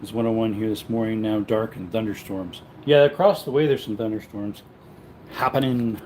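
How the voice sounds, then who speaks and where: A man's voice: fairly low pitch, fairly even intonation, moderate pace, mellow, slight background noise, rough recording. Easygoing man chatting over home ambience. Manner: rambling and easygoing, greets chat viewers. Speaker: cooking livestream host. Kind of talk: casual live-stream chat while cooking.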